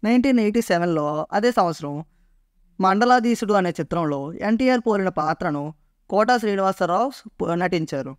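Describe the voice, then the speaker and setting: A man's voice: sits high, animated, brisk, smooth, dry recording, studio quality. A man presenting a scripted explainer as a video narrator.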